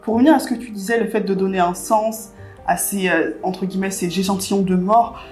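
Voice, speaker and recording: A woman's voice: medium pitch, steady tone, brisk, darkish tone, soft background music. Impassioned woman interviewer. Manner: impassioned, many "en fait" fillers. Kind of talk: casual conversational interview.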